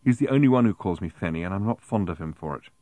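A man's voice: low voice, lively intonation, warm tone, dry recording. Man voicing a stern, teasing young woman, dry sound. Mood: matter-of-fact. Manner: brisk, playfully stern.

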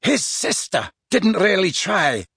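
A man's voice: a little high, huge pitch swings, normal speed, a bit bright, husky, no room sound, studio quality, pitched above the performer's own voice, exaggerated voice. A man voicing a menacing, sulky teenage boy with a surly, demanding manner in an audiobook. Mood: menacing, accusing.

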